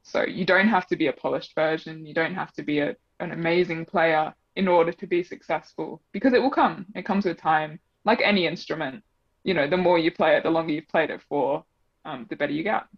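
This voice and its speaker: A woman's voice: low voice, brisk, husky, no room sound. Chatty woman with an Australian-English accent. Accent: Australian blended with English. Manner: chatty, laughs often, many fillers. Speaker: guest, double bass player. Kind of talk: casual interview chat. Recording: dull, slightly muffled dry audio.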